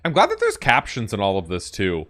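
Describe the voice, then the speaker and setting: A man's voice: fairly low pitch, wildly swooping pitch, fairly quick, a bit bright. A man hosting a gaming reaction video, rambling casually and thinking aloud.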